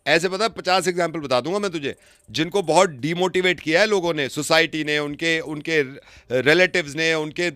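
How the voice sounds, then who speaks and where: A man chats casually on a prank call in a bright, slightly high voice. He is insistent and chummy, repeating phrases to urge the listener on. The sound is close and dry. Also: normal speed, clean tone, dry recording. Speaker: prank caller, radio show host. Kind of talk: casual phone chat, egging on.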